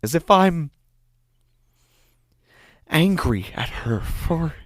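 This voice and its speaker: A man playing an anguished, guilt-ridden father in a halting, brooding horror monologue. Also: fairly high pitch, big pitch swings, no echo, full character voice. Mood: agitated, angry. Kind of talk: first-person horror drama monologue. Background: prominent music.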